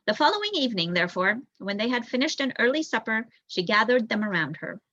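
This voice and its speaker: A young woman reading a children's story aloud in an expressive narrating style. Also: on the high side, fast talker, no echo, muffled recording. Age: young adult. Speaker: volunteer audiobook reader.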